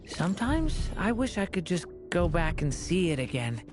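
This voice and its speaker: A woman voicing a gentle young boy dragon in a video game, wistful and unsure of himself. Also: lowish voice, sing-song, normal speed, music in the background.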